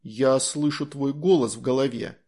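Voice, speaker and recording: A man's voice: mid-range voice, fairly even intonation, normal speed, dark tone, natural voice. A man voicing a bewildered boy adventurer in an audiobook, shaken, hesitant and incredulous.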